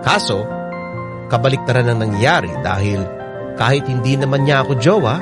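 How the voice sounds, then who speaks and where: A man's voice: medium pitch, moderate pace, close to an everyday voice. A man with a Filipino accent narrating a radio drama in the first person, confiding expressively in the listeners. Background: prominent music.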